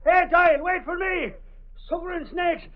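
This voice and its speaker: Casting: blustering blowhard, very high excitable voice, tinny old radio sound. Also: male voice, moderate pace. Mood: urgent, boastful. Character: blustering, excitable, boastful male sleuth, lovable blowhard. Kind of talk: 1930s-40s radio drama acting. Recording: tinny band-limited vintage radio recording.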